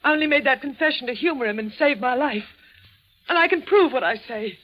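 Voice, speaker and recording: An actress playing a scheming, murderous stepmother in a 1940s radio thriller, with a melodramatic, halting delivery. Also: high voice, lively intonation. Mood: composed, scheming. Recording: dull vintage 1940s radio broadcast.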